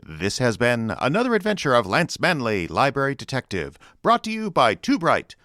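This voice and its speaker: A man's voice: medium pitch, huge pitch swings, moderate pace, over loud music. A man working as announcer, reading scripted sponsor lines and closing credits for a radio show.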